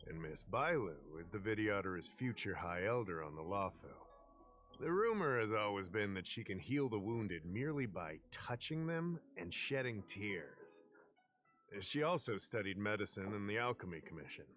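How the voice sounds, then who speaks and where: A man playing a courteous, knowledgeable fantasy official in a video game, explaining things in a measured way. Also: fairly low pitch, sing-song, fairly bright tone, soft background music. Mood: patient, explanatory.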